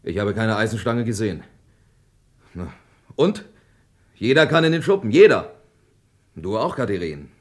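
An actor playing an aggrieved, evasive farmer under suspicion in a German radio crime drama. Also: male voice, fairly low pitch, sing-song, normal speed, pauses often. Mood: evasive, protesting. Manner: evasive, protesting. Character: defensive, aggrieved French farmer, a suspected brother.

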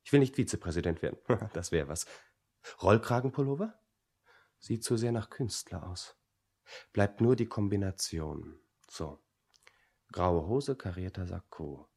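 Pompous young dropout in a radio play, lowish husky voice, swoops around a lot, argues everything. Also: male voice, expressive, unhurried, a little mellow, exaggerated voice. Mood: musing. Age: young adult. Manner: pompous, argumentative. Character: idle, contrary young dropout, brooding and self-important. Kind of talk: German radio play acting.

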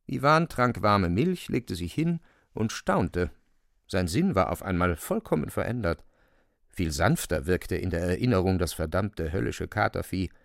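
A man narrating a literary radio play in a deliberate, expressive storytelling manner, close to the microphone. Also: sits low, gravelly, no room sound.

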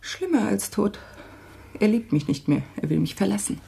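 An actress playing a desperate, abandoned young mistress in a German radio play, speaking in anguished, halting short phrases with a husky voice. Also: female voice, medium pitch, very animated, mellow, heavily acted. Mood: stunned despair.

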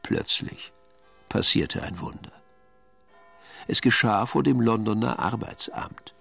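A man's voice: on the low side, slow, gravelly, fairly bright tone, husky, exaggerated voice. A man narrating a German crime radio play as a wry, detached storyteller with a dry, sardonic manner. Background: music.

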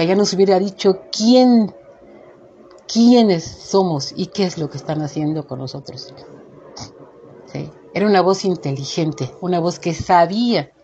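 Husky, indignant Mexican woman host. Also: on the low side, warm tone, highs cut off. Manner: indignant, emphatic, rhetorical questions. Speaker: show host. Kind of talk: informal opinion monologue to listeners.

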